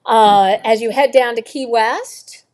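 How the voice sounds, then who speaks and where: A woman's voice: on the high side, takes it slowly, darkish tone. Encouraging woman interview host. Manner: encouraging, with frequent mm-hmms. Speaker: host, book club interviewer. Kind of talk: live interview hosting. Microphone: video call.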